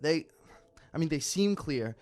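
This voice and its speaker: A man's voice: fairly high pitch, lively intonation, a little slow, darkish tone. A young man acting in a naturalistic radio drama as a worried, exasperated friend, urgent and earnest. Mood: panicked.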